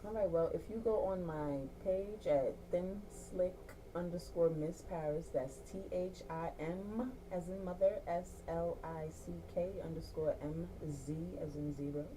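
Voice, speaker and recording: A Jamaican woman with a low voice talks as a guest on a radio show. She speaks earnestly, circling back over her words for emphasis. Clear background noise hums under her. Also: a little slow, few pauses. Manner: earnest, repeats words for emphasis, laughs easily. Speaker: guest, entrepreneur and artist. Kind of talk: casual interview chat.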